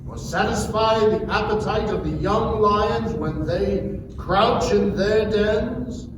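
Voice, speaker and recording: A man playing a majestic, stern God in a dramatic scripture reading, declaiming deliberate challenges. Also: high voice, far from the mic. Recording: echoey hall from afar, noisy.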